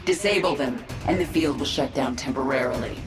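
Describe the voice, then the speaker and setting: Brief: cold, vengeful alien-swarm commander, low register, terse game dialogue with echo on it. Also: female voice, a little fast. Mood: commanding. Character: stern, vengeful female swarm queen and commander. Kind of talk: video game character acting. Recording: echoing voice under loud music.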